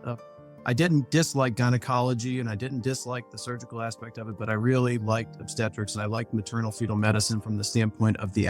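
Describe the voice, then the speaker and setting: A man speaking as a physician on a panel over a video call, talking in long, reflective sentences. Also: medium pitch. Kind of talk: measured professional talk.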